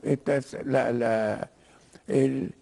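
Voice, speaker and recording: An older man with a Cuban-Argentine Spanish accent, speaking haltingly and professorially as a guest in a political interview, often repeating words as he searches for the next. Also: mid-range voice, steady tone, gravelly, mellow. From Cuba. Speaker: interview guest, writer and lawyer. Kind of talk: political discussion in a broadcast interview.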